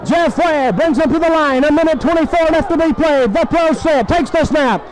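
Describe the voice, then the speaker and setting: A man's voice: very high voice, moderate pace, a crowd in the background, poor audio. A man calls live football play-by-play on a 1970s radio broadcast, in clipped phrases full of names and numbers.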